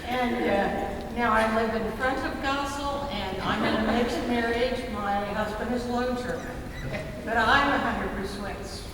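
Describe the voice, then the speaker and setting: A woman's voice: fairly high pitch, a little slow. An American woman speaking informally to an audience in a dry, joking way. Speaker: readers theater performer. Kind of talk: informal self-introduction to an audience. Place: large echoey room before a live audience. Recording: echoing hall, distant mic, clear noise.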